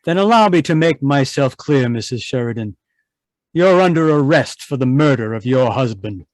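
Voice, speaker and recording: A man playing a calm, shrewd police inspector in a radio mystery, with a polite, measured manner. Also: mid-range voice, lightly acted. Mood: firm, pointed. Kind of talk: 1940s radio mystery acting.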